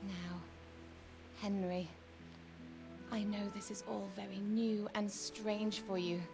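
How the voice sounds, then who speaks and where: A woman playing a gentle, pious noblewoman in a video game, speaking in a measured, formal, consoling way. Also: mid-range voice, flat delivery, gravelly. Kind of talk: dramatic game cutscene acting. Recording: loud music under game dialogue.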